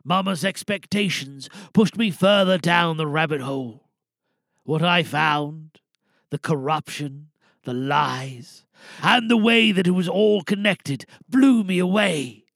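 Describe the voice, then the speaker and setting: A man playing a weary, resolute older insurance whistleblower, giving a dramatic speech to a crowd with deliberate pauses. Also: on the high side, vocal fry, a bit bright, no room sound, studio quality, full character voice. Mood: bitter, intense.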